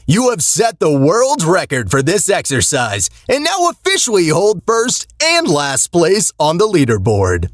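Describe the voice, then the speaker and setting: An actor voicing a calm, formal automated announcer in a video game, reading test instructions in a detached, officious manner. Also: medium pitch, measured pace, hardly any pauses, no room sound, studio quality. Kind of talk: scripted deadpan public-address announcements.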